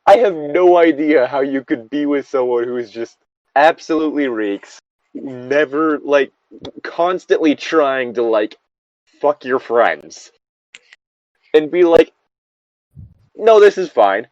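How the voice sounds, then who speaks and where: A man's voice: mid-range voice, expressive, slow pace, pauses often, darkish tone, no room sound. A man reading a story aloud in a casual style as a co-host, with bantering asides. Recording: dull lo-fi close recording.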